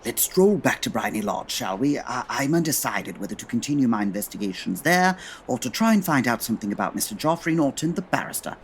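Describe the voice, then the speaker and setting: An actor playing a brisk, commanding male English detective, formal and articulate, in a comedy audio drama. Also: mid-range voice, sing-song, slight background noise. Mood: measured.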